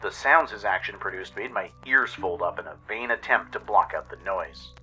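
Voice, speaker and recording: Sharp, forceful man narrating a radio drama. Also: fairly low pitch, normal speed, slight background noise. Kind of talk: dramatic scripted first-person story narration.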